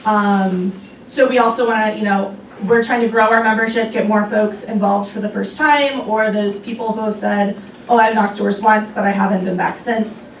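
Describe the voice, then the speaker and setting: A woman's voice: mid-range voice, fairly even intonation, moderate pace, vocal fry, a little hiss or hum. A young woman answering audience questions at a luncheon, thoughtful, with many ums and restarts. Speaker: guest speaker on a young activists' group. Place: restaurant meeting room. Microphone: room recording from a distance. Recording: echoey room from far off.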